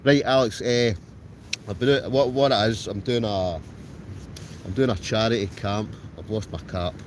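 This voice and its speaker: Deep-voiced Scottish man in windy storm. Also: normal speed. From Scotland. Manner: chatty, rambling with restarts and fillers. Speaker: wild camper livestreaming. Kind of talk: casual livestream chat reading viewer comments. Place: in a tent outdoors in a storm. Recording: loud wind noise around the tent. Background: strong wind.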